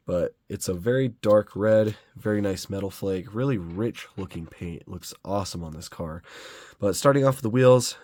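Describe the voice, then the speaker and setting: A young man with a slightly raspy voice from a cold, casually reviewing diecast model cars in a relaxed, rambling way. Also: fairly low pitch, dry recording. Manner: relaxed, chatty, rambling with fillers.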